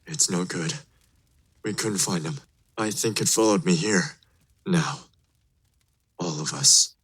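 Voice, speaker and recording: A man playing a grave, protective father in an audio drama, giving hushed, terse commands. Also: low-pitched, slow, slightly rough, heavily acted. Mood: hushed urgency. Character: protective, grave father leading his family to hiding.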